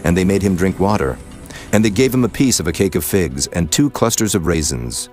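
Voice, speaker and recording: A man narrating scripture from a script in a solemn, measured storytelling manner. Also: low voice, lively intonation, a little mellow, faint music behind.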